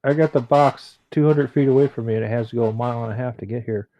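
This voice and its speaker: A man's voice: mid-range voice, fairly even intonation, fast talker, highs cut off. A man from the United States chatting casually on a stream, laid-back and saying "dude" and "like" often. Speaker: guest on stream chat. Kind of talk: casual chat.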